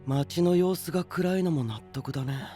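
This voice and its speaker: A man's voice: fairly high pitch, moderate pace, dark tone, faint music behind, lightly acted. A man playing a light, inquisitive young knight. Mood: concerned. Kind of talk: Japanese anime-style voice drama acting.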